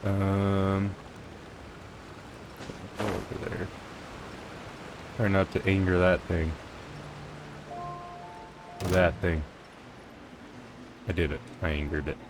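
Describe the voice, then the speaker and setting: A man's voice: deep voice, level tone, slow pace, pauses often, background noise. A man giving casual, offhand commentary while playing a video game. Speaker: gamer streamer. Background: game music.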